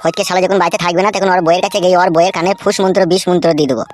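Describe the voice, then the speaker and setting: A man's voice: sits high, fairly even intonation, very fast, faint music behind, close to an everyday voice. A man voicing a scheming, tattling henchman in a comedy cartoon, slangy and eager. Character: scheming, tattling male henchman of local boss.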